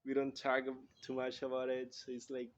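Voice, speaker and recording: A man's voice: medium pitch, monotone, moderate pace, dull audio, slight background noise. Hesitant man. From Colombia. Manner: hesitant, searching for words. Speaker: interviewer. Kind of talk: casual interview.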